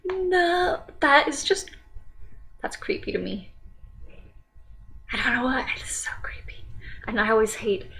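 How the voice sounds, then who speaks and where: Woman, reaction host, higher pitch, rambling and self-apologetic, light music bed behind. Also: sing-song, a little slow, pauses often, sharp tone. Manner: rambling, apologetic, with laughing asides. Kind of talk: casual reaction commentary. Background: faint music playing.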